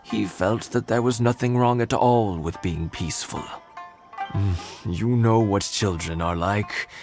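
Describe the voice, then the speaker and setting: Brief: a man as a gentle older husband, low-set voice, soft storyteller, orchestral score behind. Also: lightly acted. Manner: warm, unhurried storytelling with soft chuckles. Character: kindly old husband, gentle and nostalgic. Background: game music.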